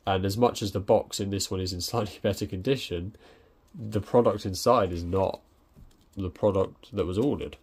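A man's voice: deep voice, moderate pace, a little mellow, slightly rough. A young man talking casually through an unboxing at his desk, hesitant with many ums and restarts. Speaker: tech reviewer. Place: indoors at a desk.

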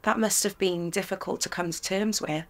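An actress playing a hopeful young woman who dreams of a stage career, speaking in a slow, wistful, hesitant way. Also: mid-range voice, fairly even intonation, close to an everyday voice. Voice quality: sings softly.

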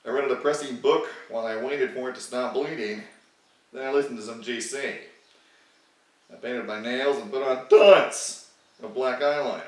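Guy in his 20s reading a story all mock serious and theatrical, mid pitch, bit of room echo. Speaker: narrator, YouTube host. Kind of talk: theatrical comic fan fiction reading. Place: small living room, indoors. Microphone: desktop USB microphone close by.

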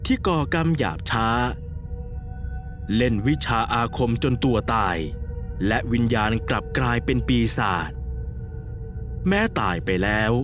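A man's voice: mid-range voice, very animated, a little slow, pauses often, a bit bright. A man narrating a scripted ghost story in a dramatic storytelling manner. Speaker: narrator of a horror cartoon series. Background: background music.

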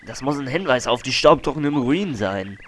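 Ein Mann spielt einen aufgeblasenen Abenteurer. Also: male voice, mid-range voice, animated, brisk, a bit bright, slight background noise, lightly acted. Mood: pompous. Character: pompous male explorer archaeologist, boastful. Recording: faint game music behind.

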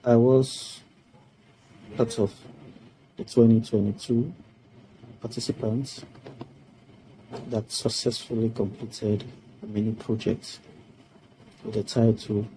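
A middle-aged man from Sierra Leone giving a formal testimonial about a project he completed, speaking in a slow, measured way on a video call. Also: fairly low pitch, mellow, a little hiss or hum, poor audio. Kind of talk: prepared testimonial speech. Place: indoor room. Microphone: webcam on a video call.

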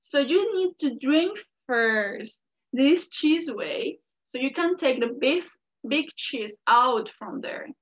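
A woman's voice: high voice, expressive, slow pace, a bit bright, dull audio, full character voice. A woman voicing a clever, tricky male rabbit who coaxes and persuades. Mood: sly. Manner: coaxing and persuasive.

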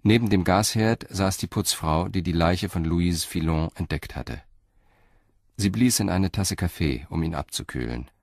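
A man's voice: sits low, a little slow, darkish tone, dry recording, clean studio sound. A man reading scripted narration for an audio drama.

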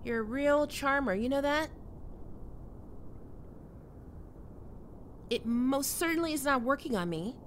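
A woman voicing a prickly, flustered young catgirl roommate in an intimate ASMR roleplay, halting and defensive but secretly soft. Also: high-pitched, animated, slight background noise. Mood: mock outrage.

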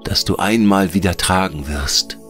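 A man voicing soft, pure lily spirits in a sweet, lilting tone. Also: deep voice, a little slow, faint music behind. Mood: tender.

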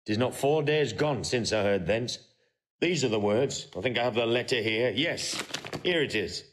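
An actor playing a proud, shrewd warrior general in a classical Shakespearean audio drama, declaiming crisp verse. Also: male voice, mid-range voice, animated, normal speed, fairly bright tone, natural voice. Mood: grave, scheming. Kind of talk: classical Shakespearean stage acting.

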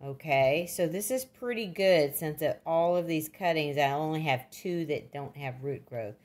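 Older woman, low voice, folksy and plain-spoken, for casual home gardening how-to narration. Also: measured pace, darkish tone. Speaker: gardening demonstrator.

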